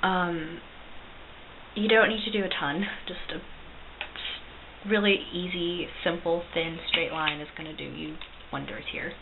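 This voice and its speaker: A young woman with a slightly low voice talks through a makeup tutorial in a chatty, self-deprecating way, with plenty of ums. The sound is thin and phone-like, with a loud steady hiss underneath. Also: slow, vocal fry. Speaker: cosplay makeup vlogger. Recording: tinny lo-fi recording with loud hiss.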